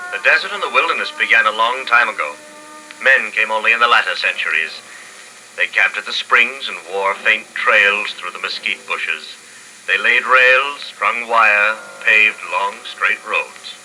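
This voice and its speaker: An actor playing a detached observer chronicling mankind's end, with solemn, clinical, declamatory 1950s radio drama narration. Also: male voice, fairly low pitch, sharp tone, full character voice, low-quality recording. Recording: old radio recording, faint noise.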